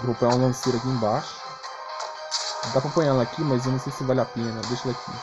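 Fast-talking Brazilian man streaming a game. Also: medium pitch, fairly even intonation. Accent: Brazilian Portuguese. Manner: slangy, mildly grumbling. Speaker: gaming streamer. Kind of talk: casual live game commentary. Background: game music.

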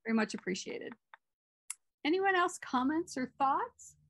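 A woman's voice: high voice, expressive, unhurried, no room sound, clean studio sound. A middle-aged woman moderating a conference Q&A over a video call.

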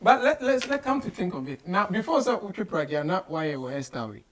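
High-pitched Ghanaian man hosting an interview. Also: sing-song, a little fast, a bit bright. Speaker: radio show host. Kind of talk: casual interview, switching between Twi and English. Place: small studio office, indoors.

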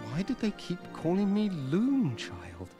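A young weaver's apprentice in a fantasy adventure game. He sounds earnest, naive and bewildered, his pitch jumping about expressively over loud music. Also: wildly swooping pitch, takes it slowly, full character voice. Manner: earnest, plaintive. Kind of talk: theatrical game voice acting. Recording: game audio under prominent music.

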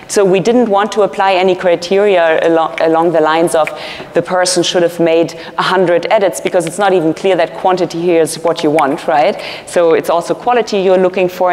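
A woman's voice: on the low side, a little mellow. A woman researcher giving a conference keynote from a podium, explaining earnestly with fillers and restarts. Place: large conference hall, at a podium. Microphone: podium mic, filmed from a far camera. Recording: long hall reverb.

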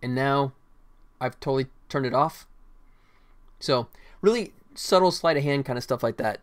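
A middle-aged man gives casual live commentary as a game developer, thinking aloud in short fragments. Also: medium pitch. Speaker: game developer livestreaming his work.

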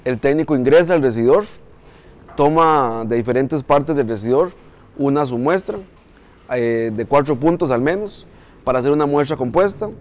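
A man in his forties with a Costa Rican accent, explaining a technical procedure in a measured, methodical way, recorded in a coffee mill warehouse. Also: mid-range voice. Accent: Costa Rican Spanish. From Costa Rica. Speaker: coffee institute engineer. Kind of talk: explanatory interview.

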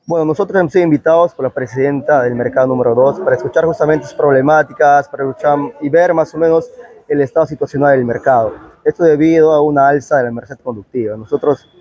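A Peruvian man in a news interview, speaking as a local official. His voice is husky and mellow, a little high, and the lapel-mic recording is slightly dull. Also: fairly even intonation, fast, no echo. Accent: Peruvian Spanish. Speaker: town councillor being interviewed. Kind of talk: spoken news interview answer. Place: open covered market. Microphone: clip-on lapel microphone.

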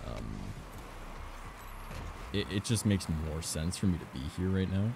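A young man from Canada with a raspy voice, chatting casually and rambling as a streamer, filling his talk with "like" and "um". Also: sits low, sing-song, unhurried, background noise. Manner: rambling, frequent "like" and "um". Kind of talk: casual chat while gaming. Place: home recording studio.

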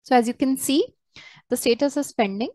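A woman walking through a software tutorial step by step as a trainer, in a hesitant, halting way. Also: sits high, dry recording. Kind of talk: screen-share software tutorial, unscripted walkthrough.

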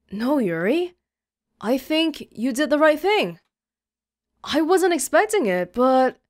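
A woman hosting a let's play, reading a visual novel aloud expressively and adding her own reactions. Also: a little high. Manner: expressive, acting out dialogue. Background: soft game music with guitar.

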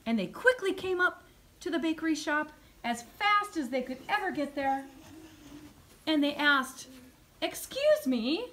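High-voiced woman telling children a story. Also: measured pace. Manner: expressive, addressing young children. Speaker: music teacher. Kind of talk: live storytelling to children in a puppet show. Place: medium-sized school music classroom. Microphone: phone camera a few metres away. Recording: noisy classroom phone video.

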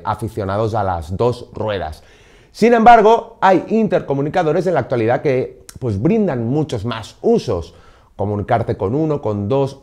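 Lively man presenting gear. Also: medium pitch. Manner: lively, emphatic presenting with frequent "pues" and "eh" fillers. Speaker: product presenter for a motorcycle gear shop. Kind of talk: scripted product explainer to camera. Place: indoor studio set behind a desk.

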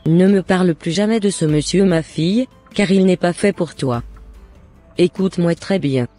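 A man plays a stern cartoon mother with a very high, dark-toned voice. She scolds firmly and will not bend, with music underneath. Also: moderate pace, close to an everyday voice. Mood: stern, dismissive. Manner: firm, scolding. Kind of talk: scripted cartoon dialogue.

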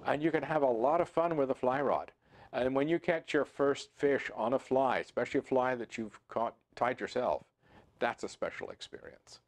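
A man in his sixties hosting a fly fishing channel, talking to camera in a relaxed, conversational way with frequent fillers and restarts, seated in a home office. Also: medium pitch, moderate pace. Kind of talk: to-camera instructional talk. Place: home office with computer screens, indoors.

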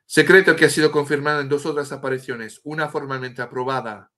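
A man's voice: fairly high pitch, steady tone, brisk, a bit bright. A middle-aged French man speaking Spanish with a French accent, a guest author telling a story earnestly on a video call from home, often restarting words as he searches for the Spanish term. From France. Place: home room with a bookshelf and statue. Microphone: webcam on a video call.

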